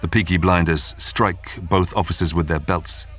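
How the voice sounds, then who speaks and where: A man's voice: deep voice, fairly even intonation, moderate pace, a bit bright, husky. A man reading a scripted, dramatic true-crime documentary narration. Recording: music bed under the voice. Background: background music.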